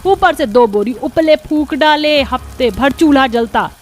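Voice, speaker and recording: A woman's voice: high-pitched, a little slow, pitched above the performer's own voice. A woman voicing a bossy, exasperated older mother-in-law in a cartoon family drama, sarcastic and scolding. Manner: nagging, sarcastic.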